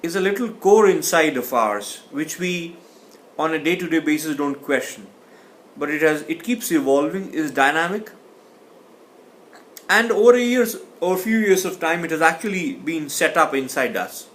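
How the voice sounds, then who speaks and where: A man's voice: a little high, animated, normal speed, a bit bright, a little hiss or hum. A man teaching a communication class, speaking thoughtfully and often restarting or repeating words. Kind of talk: explanatory lecture.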